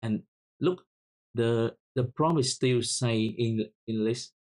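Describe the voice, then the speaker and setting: A man with a Vietnamese accent, whose first language is Vietnamese, hosts a screen-recorded coding tutorial, talking haltingly and repeating words while he types commands. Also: mid-range voice, darkish tone. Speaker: software tutorial host.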